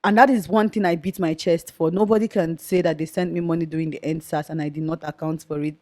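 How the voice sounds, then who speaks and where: Young nigerian woman, loud voice, talks earnest and direct, lowish pitch. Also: fairly even intonation, fast talker. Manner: earnest and outspoken. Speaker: guest, activist. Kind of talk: conversational interview.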